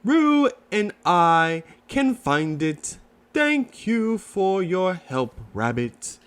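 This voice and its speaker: A man voicing a gentle, simple-minded storybook bear in a mild, unhurried way. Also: high voice, sing-song, smooth, exaggerated voice.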